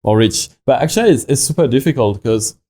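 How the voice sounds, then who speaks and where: A young man from France with a French accent, a watch company founder talking in a podcast interview, halting and full of restarts and fillers. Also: medium pitch, lively intonation, mellow, slightly rough.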